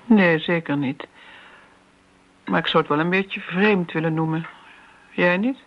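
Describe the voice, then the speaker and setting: An actress playing a disdainful older woman who reminisces briskly in a radio drama. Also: lowish voice, close to an everyday voice. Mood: reminiscing. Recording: dull, noisy old radio play recording.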